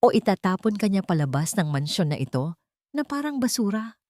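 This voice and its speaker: A woman's voice: medium pitch, big pitch swings, a little fast, darkish tone, no echo, close to an everyday voice. A woman voicing a cruel, scheming villainess in a drama story, mocking and menacing. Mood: mocking menace. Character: cruel, scheming villainess taunting and blackmailing a servant.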